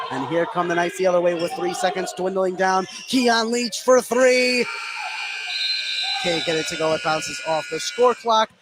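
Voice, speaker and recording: High-voiced man calling basketball, noisy gym. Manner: voice rises excitedly as shots go up. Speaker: play-by-play sports announcer. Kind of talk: live basketball radio commentary. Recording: clearly noisy gym ambience. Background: sports gym noise.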